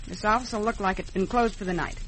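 A woman's voice: medium pitch, quick pace, close to an everyday voice. Ruthless American outlaw boss lady, played by a woman. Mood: cold, plotting. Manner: curt, commanding. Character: cool, bossy, ruthless female outlaw gang leader. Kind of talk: 1950s radio western acting. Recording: noisy old radio show recording.

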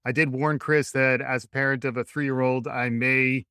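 A man's voice: mid-range voice, level tone, normal speed, bright, forceful tone, clear, resonant tone, no echo, clean studio sound. A young adult man hosting an education podcast, upbeat and joking, who asks long, rambling questions and mentions being a dad. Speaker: podcast host. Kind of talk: podcast interview.

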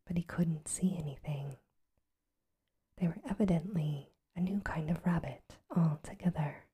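A woman reading a bedtime story aloud in a soft, even ASMR whisper. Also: deep voice, unhurried, crowd noise.

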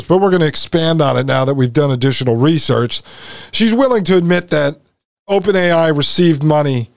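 A man's voice: on the high side, no room sound, clean studio sound. A man hosting a podcast, talking conversationally and explaining things directly to his listeners. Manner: addresses listeners as folks, explanatory. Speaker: podcast host. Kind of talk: conversational show commentary to listeners.

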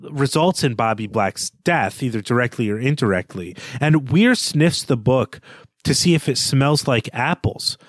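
Dude analyzing a novel on a podcast, measured talk, dry close sound. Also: male voice, mid-range voice, no room sound. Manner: measured, analytical, slowing for read-aloud passages. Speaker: podcast co-host. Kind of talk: casual literary discussion.

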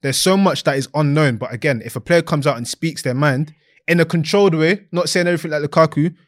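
A man co-hosting a casual football chat, animated and slangy, laughing often. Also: fairly high pitch, fast. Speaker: podcast co-host.

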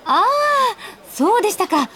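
A woman's voice: very high-pitched, slow pace, slight background noise, full character voice. An actress playing a polite, easily flustered young female office worker in a Japanese radio drama, speaking expressively. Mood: polite, puzzled. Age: young adult. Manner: polite junior speech, expressive. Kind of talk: Japanese radio drama acting with narrated asides.

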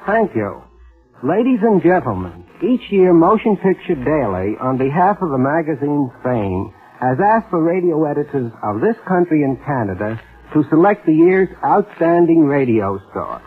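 Formal husky man on vintage radio. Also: fairly high pitch, very animated, faint music behind. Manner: formal, measured oratory. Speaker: guest presenter, trade paper radio editor. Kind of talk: formal scripted award speech. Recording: tinny vintage radio broadcast.

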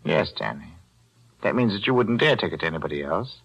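A smug old pawnbroker. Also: male voice, sits low, lively intonation, a little fast, creaky, fairly bright tone, husky, exaggerated voice, poor audio. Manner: smug, coolly bargaining. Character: shrewd, greedy older male pawnbroker. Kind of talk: 1940s radio acting. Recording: vintage 1940s radio broadcast.

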